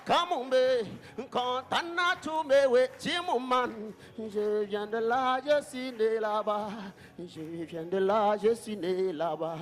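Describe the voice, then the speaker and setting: High-voiced man chanting slowly over music. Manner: rhythmic, chanted repetition. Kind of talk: spoken-word performance over music.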